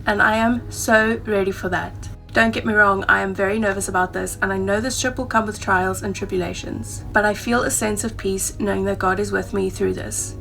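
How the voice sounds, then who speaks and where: A woman in her twenties speaks with a South African accent at a middling pitch. She sounds candid and chatty, like a travel vlogger talking to her viewers. Soft music plays faintly behind her. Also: fairly even intonation, moderate pace, gravelly, poor audio. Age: 20s. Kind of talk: personal vlog, talking to camera.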